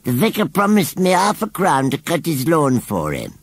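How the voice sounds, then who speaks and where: Man voicing a contrary old-fashioned scarecrow, animated and lifted. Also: on the high side, moderate pace, slightly rough, no room sound, pitched above the performer's own voice, exaggerated voice. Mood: retorting. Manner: contrary, rambling retorts. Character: odd, touchy male scarecrow, cheeky and contrary.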